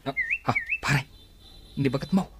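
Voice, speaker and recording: A man's voice: mid-range voice, normal speed, very noisy, natural voice. A man playing a scheming, playful friend of a driver in a radio comedy drama. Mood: teasing.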